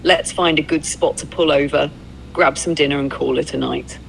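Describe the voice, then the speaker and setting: A man's voice: on the high side, fairly even intonation, normal speed, fairly bright tone, a little hiss or hum, low-quality recording. A synthetic male voice chatting casually and agreeably as an encouraging road-trip companion. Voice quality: creaky synthetic voice. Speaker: AI companion playing a documentarian. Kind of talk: casual roleplay chat.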